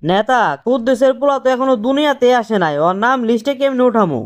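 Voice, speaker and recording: A man's voice: very high voice, expressive, quick pace. A man voicing an eager, naive young political errand boy in a cartoon, with colloquial Bangladeshi speech. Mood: earnest. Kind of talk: cartoon dialogue.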